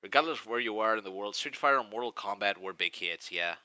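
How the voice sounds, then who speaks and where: A man's voice: fairly low pitch, lively intonation, fast, bright, forceful tone, dry recording. Man, Greek accent, 40s, rambling stream chat, tight dry sound. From Greece. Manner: rambling, nostalgic, restarts often. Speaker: live streamer. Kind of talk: casual live-stream chat.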